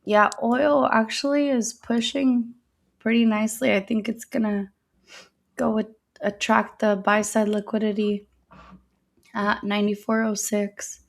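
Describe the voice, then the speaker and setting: A woman's voice: a little high, flat delivery, unhurried, gravelly. A woman co-hosting a live trading stream, giving casual market commentary.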